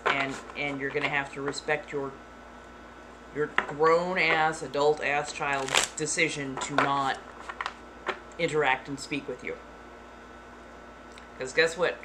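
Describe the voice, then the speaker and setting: A woman streamer chatting casually with her viewers, blunt and frank, keeping her voice deliberately flat and dropping the odd swear. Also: low voice. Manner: blunt, deliberately monotone delivery. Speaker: streamer answering chat. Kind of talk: casual live-stream chat. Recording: clear background noise.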